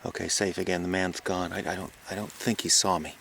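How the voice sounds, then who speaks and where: Man acting a gravelly, low-voiced frightened reporter. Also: level tone. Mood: tense, watchful. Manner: halting, stammering, gasping exclamations. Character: frightened male reporter recording his secret night stakeout. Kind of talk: found-recording horror audio drama monologue. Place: outdoors on a farm. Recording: noisy outdoor location recording.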